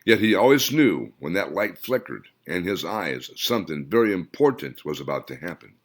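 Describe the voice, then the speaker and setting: A man narrating his own novel as an audiobook, with an expressive, dramatic reading. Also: low-pitched, moderate pace. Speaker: author and narrator.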